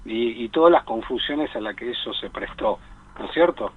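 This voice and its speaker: A man in a reflective radio discussion, speaking haltingly, repeating himself and often adding tag questions. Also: medium pitch, animated, slightly rough, narrow, tinny audio. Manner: halting, repetitive, peppered with rhetorical tag questions. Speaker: radio talk co-host discussing psychoanalysis.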